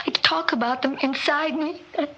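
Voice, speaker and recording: An actress in a 1960s radio drama playing a weary, frightened, bitter wife, speaking in halting, strained bursts. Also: female voice, high voice, a bit bright. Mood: bitter, anguished. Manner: halting, repeating, emotionally strained. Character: weary, frightened, bitter wife confessing long-held hatred. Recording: dull, noisy old radio recording.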